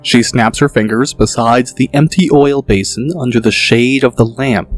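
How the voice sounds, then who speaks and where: A man narrating a fantasy audio drama in a steady, descriptive storytelling voice. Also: mid-range voice, normal speed, faint music behind.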